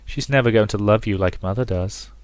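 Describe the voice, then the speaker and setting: Man playing a cruel, possessive mother, low-voiced. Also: a little fast, clean tone, no room sound, lightly acted. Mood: scornful, possessive. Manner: condescending, coaxing.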